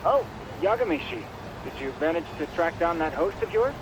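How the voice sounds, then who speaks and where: Eager geek, highish voice, noisy backdrop. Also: male voice, wildly swooping pitch, moderate pace, creaky, exaggerated voice. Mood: smug, eager. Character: eager, geeky male tech-savvy informant. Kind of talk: English video game dub.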